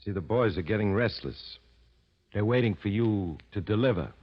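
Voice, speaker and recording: An actor in 1940s radio style playing a slow-witted, deferential gangster henchman with hesitant, plain tough talk. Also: fairly low pitch, animated, slightly rough. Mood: doubtful. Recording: muffled old radio drama recording.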